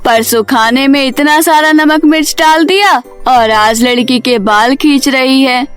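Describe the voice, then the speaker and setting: A woman's voice: high voice, unhurried, a bit bright, smooth, faint music behind. A woman voicing an elderly grandmother in a cartoon story, an insistent, complaining mother-in-law. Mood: scolding.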